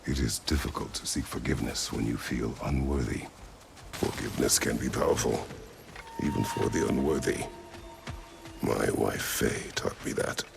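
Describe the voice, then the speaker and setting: An actor playing a stern, weary warrior god and father in a video game, speaking tersely and solemnly in a gravelly voice. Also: moderate pace, music in the background, heavily acted. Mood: solemn, subdued. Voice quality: deep gravelly. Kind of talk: dramatic video game acting.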